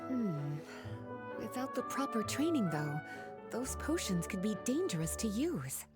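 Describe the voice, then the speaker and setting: A woman's voice: mid-range voice, sing-song, a little slow, loud music behind the voice. An actress playing a teasing, worldly, playful woman mentor in a video game cutscene. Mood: thoughtful.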